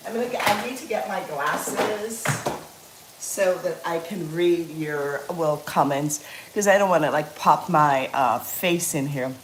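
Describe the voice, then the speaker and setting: A woman's voice: low voice, measured pace, reverberant, heavy background noise. A woman, chatting casually with viewers as she cooks on a livestream, laughing easily. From Guyana. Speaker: livestream cooking host. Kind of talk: casual livestream cooking chat.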